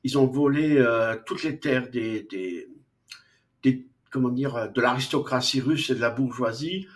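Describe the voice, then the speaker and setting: A man lecturing on philosophy at an unhurried, deliberate pace. He talks off the cuff, stopping often for fillers and restarting his sentences. His voice sits at an ordinary middle pitch. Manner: slow, hesitant, frequent fillers and restarts. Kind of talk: unscripted lecture.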